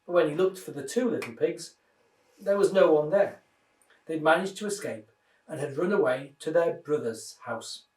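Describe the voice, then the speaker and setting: A man reading a children's story aloud in an expressive, dramatised way. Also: a little high, normal speed. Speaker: minister reading children's stories. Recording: roomy echo, distant microphone.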